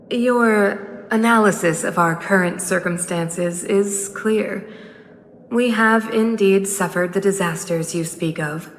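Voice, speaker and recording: A woman playing a composed, imperious supreme guardian in a video game, speaking formally and with stately measure. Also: fairly low pitch. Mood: formal, guarded. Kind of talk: scripted video game voice acting. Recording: roomy reverberant hall, faint noise.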